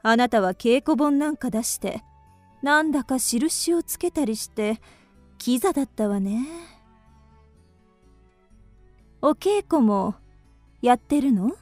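A teasing, forward young geisha in a radio drama. Her voice is high and unhurried, coy and probing in old-fashioned feminine phrasing. The sound is dry, with faint music behind. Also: animated, darkish tone, dry recording. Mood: coy, curious.

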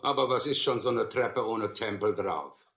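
A man's voice: fairly low pitch, moderate pace, sharp tone, roomy sound, slight background noise. An actor playing a probing old seaman who tests tall tales in a German radio drama. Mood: testing, sly.

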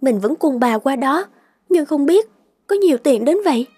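A woman's voice: high voice, animated, normal speed, darkish tone, no room sound. A woman voicing a timid, anxious young housemaid in a cartoon, polite and hesitant. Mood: conflicted. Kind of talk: cartoon voice acting. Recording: faint music behind the voice.

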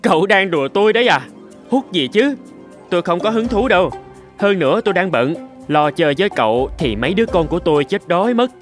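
An actor voicing a busy, irritated father rooster in an animated fable, brisk, scolding and dismissive. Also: mid-range voice, faint music behind, lightly acted. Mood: annoyed. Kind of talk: Vietnamese animated fable dialogue.

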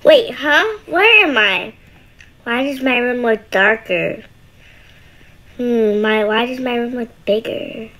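A woman's voice: on the high side, animated, slow, bright, forceful tone, slight background noise, heavily acted. A woman voicing a scared, lonely young boy in an amateur game roleplay, hesitant and sighing as the character mutters. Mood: uneasy.

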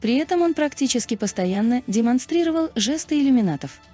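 A woman's voice: high voice, animated, brisk, dark tone. A woman reading a scripted explainer narration as a narrator. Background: background music.

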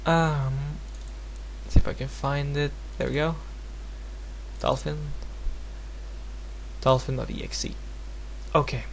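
Male tutorial presenter, Norwegian accent, non-native speaker. Casual, halting, self-deprecating delivery at medium pitch, with humming noise behind. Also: fairly even intonation, low-quality recording. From Norway. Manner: hesitant, rambling, self-deprecating. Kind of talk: casual, unscripted screen-recorded software tutorial. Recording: home recording with clear background noise and hum.

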